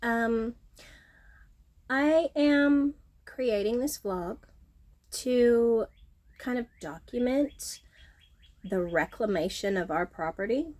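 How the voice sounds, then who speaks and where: A middle-aged woman with a nasal, sniffly voice, chatting casually on her home vlog and filling pauses with ums. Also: on the high side, darkish tone. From Texas Gulf Coast. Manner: frequent ums, self-deprecating, chatty. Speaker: vlogger, horticulturist. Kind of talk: casual talking-to-camera vlog. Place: outdoors at home, front porch.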